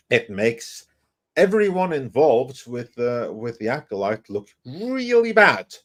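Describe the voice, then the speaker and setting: A man's voice: mid-range voice, breathy edge. A man hosting a panel talk, arguing his points conversationally with emphatic, questioning speech. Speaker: panel host. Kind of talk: opinionated panel discussion, speculation.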